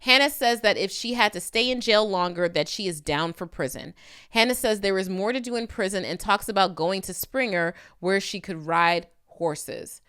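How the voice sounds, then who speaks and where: Woman, mid-range pitch, crisp resonant voice, conversational legal commentary, emphatic, opinionated, direct address. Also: normal speed, a bit bright. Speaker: legal commentator hosting a video channel.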